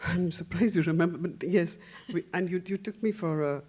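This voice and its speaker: An older woman talks on a literature festival panel. She rambles, restarts her sentences and laughs softly at herself as she goes. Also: fairly low pitch, a little fast, a little hiss or hum. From India. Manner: rambling and self-deprecating, with frequent restarts and soft laughs. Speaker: panelist, translation editor. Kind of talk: panel discussion.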